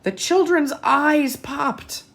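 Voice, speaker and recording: A woman reading a picture book aloud to children expressively, pausing for asides and questions to her young listeners. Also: high-pitched, gravelly. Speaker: children's storytime host.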